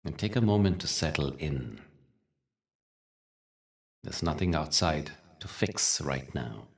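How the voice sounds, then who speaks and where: A man leading a guided meditation as a teacher, speaking gently in short phrases with long pauses. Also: rumbling low, expressive, far from the mic. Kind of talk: guided meditation with spiritual talk.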